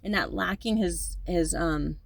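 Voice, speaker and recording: A woman in her fifties talks straight to the camera in a loose, rambling way, circling back over her words and restarting sentences. Her voice sits a little low, and she turns firm and emphatic when she insists on a point. A faint ambient hum runs beneath her. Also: a little slow, creaky. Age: 50s. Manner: emphatic and indignant at times. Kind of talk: casual spoken monologue.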